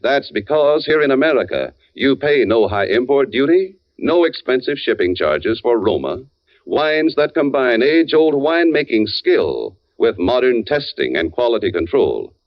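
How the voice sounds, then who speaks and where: A male commercial announcer from 1940s radio delivers polished, formal copy with very lively pitch movement. The recording is dull and narrow, with music. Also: moderate pace. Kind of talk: 1940s radio advertisement, scripted.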